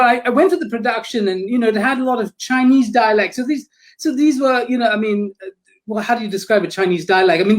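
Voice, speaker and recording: Hesitant, thoughtful woman critic. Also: medium pitch. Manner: thoughtful, hesitant, many restarts and fillers. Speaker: theatre critic on a panel. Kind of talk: discussion.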